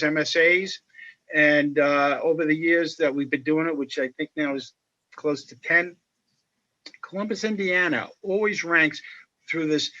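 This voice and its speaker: A man speaking as a panelist on a video call, explaining at a measured pace with many fillers. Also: on the high side, bright, forceful tone. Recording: muffled video call audio.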